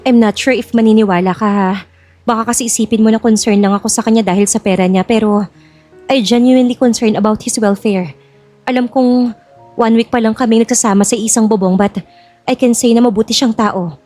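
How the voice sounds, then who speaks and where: A woman's voice: a little high, steady tone, fairly quick, warm tone, faint music behind, close to an everyday voice. A woman playing an earnest, guarded young bride-to-be in a Filipino audio drama, speaking conversationally. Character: Jasmine, worried young bride-to-be of a rich old man.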